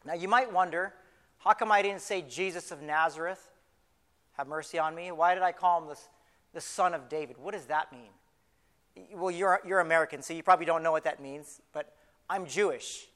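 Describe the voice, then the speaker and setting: Man acting as an excitable blind beggar, high bright voice. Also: lightly acted. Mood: earnest, explanatory. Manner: halting, repeats phrases, conversational storytelling. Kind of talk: first-person dramatic monologue, retelling a Bible story. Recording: live in a large reverberant hall.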